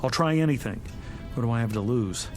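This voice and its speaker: An actor playing a lovesick, desperate, impetuous suitor, eager and effusive, in a vintage television drama. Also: male voice, medium pitch, animated, brisk, faint music behind, close to an everyday voice. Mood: excited.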